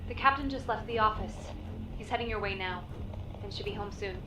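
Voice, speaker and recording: A woman's voice: mid-range voice, fairly quick, close to an everyday voice. An actress playing a caring, anxious female agent's colleague, earnest and worried, in a science fiction audio drama. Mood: businesslike. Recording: roomy echo, loud music underneath.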